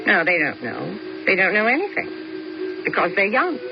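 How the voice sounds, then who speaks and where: Jaded middle-aged woman, aloof and sardonic, very animated intonation, muffled noisy radio recording. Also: mid-range voice, unhurried, creaky, heavily acted. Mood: bored, scornful. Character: jaded, aloof older woman with dry sarcastic wit. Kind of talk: old-time radio drama acting.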